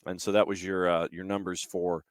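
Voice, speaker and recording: A man's voice: low-pitched, little pitch movement, moderate pace, dry recording, clean studio sound. A man hosting a sports show, talking through match analysis and statistics conversationally in short, clipped fragments.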